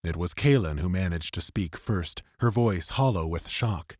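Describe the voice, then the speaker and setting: A man's voice: low voice, lively intonation, normal speed, no room sound, clean studio sound. A man reading dramatic scripted narration as an audiobook narrator. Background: music.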